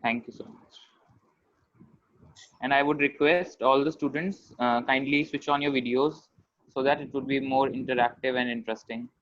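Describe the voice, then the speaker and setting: A man's voice: mid-range voice, fairly even intonation, normal speed, fairly bright tone, dull audio. A teenage male student with an Indian accent, politely and formally presenting in an online class. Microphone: video call. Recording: faintly noisy video-call audio.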